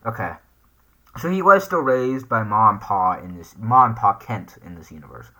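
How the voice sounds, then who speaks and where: A man's voice: fairly low pitch, lively intonation, normal speed, sharp tone. A man hosting a casual reaction video, hesitantly thinking aloud as he comments and reads text off the screen. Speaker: YouTube reaction host.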